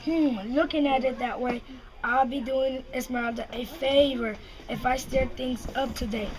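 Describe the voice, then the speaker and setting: A woman's voice: high-pitched, fairly even intonation, normal speed, lightly acted. A young girl voicing a mischievous, scheming coyote, playfully reading from a puppet-show script. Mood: sly. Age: child, about ten. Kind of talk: reader's theater puppet show. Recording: noisy recording with clicks.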